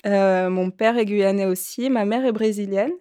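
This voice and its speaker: A woman around thirty, raised between French Guiana and northern Brazil, with Portuguese as her mother tongue. She speaks as an interview guest, telling her own story in a conversational way at a medium pitch. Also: steady tone, normal speed, mellow.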